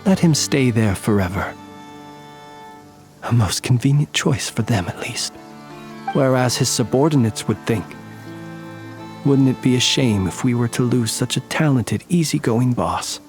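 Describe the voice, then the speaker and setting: An actor playing a composed, courteous, mysterious military man in a video game dub, speaking in a measured, formal and eloquent way. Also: mid-range voice, animated, darkish tone, faint music behind. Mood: somber, reflective.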